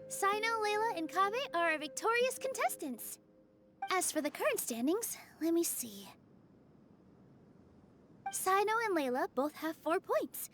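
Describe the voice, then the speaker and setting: An actress playing a polite, eager young contest announcer in a video game, speaking courteously. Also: female voice, very high voice, fairly bright tone, heavily acted. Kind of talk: English video game voice acting. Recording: music behind the voice. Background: background game music.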